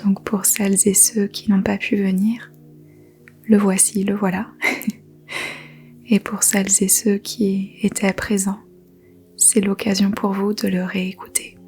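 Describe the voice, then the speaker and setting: A woman teaching yoga nidra, speaking softly in a whispery voice and giving slow, gentle meditation instructions with long pauses. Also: mid-range voice. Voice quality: soft whispery voice. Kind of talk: guided meditation.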